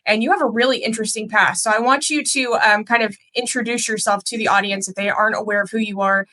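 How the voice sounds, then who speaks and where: Fast, bright-voiced woman co-host. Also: on the high side, fairly even intonation, poor audio. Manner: chatty, filler-heavy. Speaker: podcast co-host. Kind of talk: casual interview chat.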